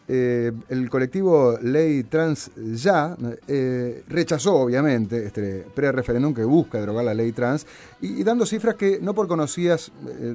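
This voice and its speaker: A man's voice: medium pitch, a little mellow. A man with a Uruguayan accent hosting a radio talk show, explaining at length with many fillers and tag questions. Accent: Uruguayan Spanish.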